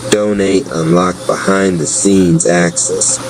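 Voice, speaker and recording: A man narrating a scripted promotional piece in an even, announcer-style read. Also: sits low, slow, crowd noise, rough recording. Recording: clear steady background noise.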